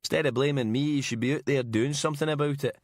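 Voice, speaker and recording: A man's voice: mid-range voice, quick pace, no room sound, studio quality, pitched above the performer's own voice, close to an everyday voice. A man voicing a brash, combative male reporter with a broad Glaswegian accent in an audiobook, mouthy and indignant. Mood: defiant. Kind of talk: audiobook character acting.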